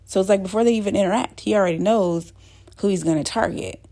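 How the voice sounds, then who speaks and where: Woman, casual host chat, dark warm tone, rambling with fillers. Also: mid-range voice, fast talker. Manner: rambling, many fillers and restarts. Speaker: channel host. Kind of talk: casual talk to camera.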